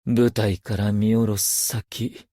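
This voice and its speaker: A man's voice: on the low side, little pitch movement, dark tone, dry recording. Haunted theater actor assassin from a game, brooding n solemn, takes his time, super dry close sound. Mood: solemn, menacing. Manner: solemn, measured, theatrical, poetic phrasing. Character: brooding, haunted male stage actor turned loyal assassin. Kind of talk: scripted game character voice lines, theatrical monologue.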